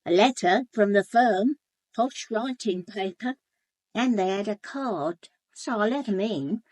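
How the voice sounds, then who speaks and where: An actress playing a gossipy, blunt English housekeeper in a British radio drama, chatty, colloquial and confiding. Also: female voice, medium pitch, animated, unhurried, a little mellow, no echo. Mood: knowing, gossipy. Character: gossipy, blunt, sharp-eyed housekeeper, a working-class woman. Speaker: actor in a radio murder mystery.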